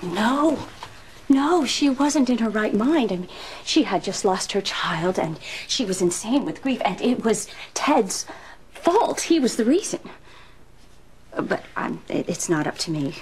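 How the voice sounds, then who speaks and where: A woman's voice: mid-range voice, very animated, unhurried, darkish tone. A sympathetic, modest female assistant in a TV drama. Her voice sits mid-range, with faint noise around it. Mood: sympathetic, puzzled. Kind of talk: naturalistic drama dialogue.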